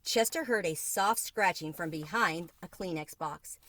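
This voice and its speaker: A woman reading a children's book aloud in an expressive narrating style. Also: fairly low pitch, measured pace. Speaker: storybook reader.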